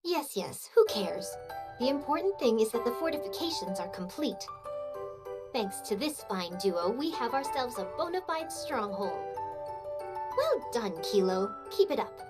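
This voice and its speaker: A woman's voice: a little high, very animated, a little slow. A woman playing a proud, effusive young castle-dweller in a video game, with a grandiose, emphatic way of talking. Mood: gleeful. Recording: loud music over the voice.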